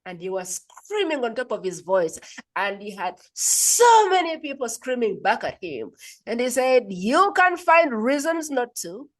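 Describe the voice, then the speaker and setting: A woman's voice: fairly high pitch, very animated, a little slow, rough recording. Woman podcast host from Uganda, emphatic storyteller, interview chat.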